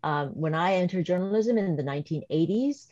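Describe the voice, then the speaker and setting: A woman in her fifties with a slight Korean accent speaks on a webinar panel in a low, mellow voice, measured and thoughtful. She talks over a video call, and the sound is dry and slightly muffled. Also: lively intonation, no room sound. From South Korea. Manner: measured, thoughtful, some restarts. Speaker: professor and panelist. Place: home or office video call.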